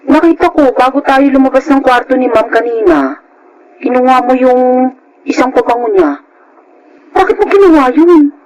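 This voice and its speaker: A woman's voice: sits high, expressive, moderate pace, pauses often, a crowd in the background, low-quality recording. A woman with a Filipino accent playing a meek, honest young housemaid, polite and earnest, in radio drama style. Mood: gently reproachful.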